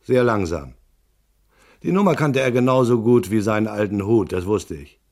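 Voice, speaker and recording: A hardboiled private eye from a crime radio drama. His voice is low, and he talks in terse, dry, unimpressed lines, as narrator of his own case and as tough questioner. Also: lively intonation, normal speed, a little mellow. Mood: dry narrating. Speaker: actor narrating and playing the lead.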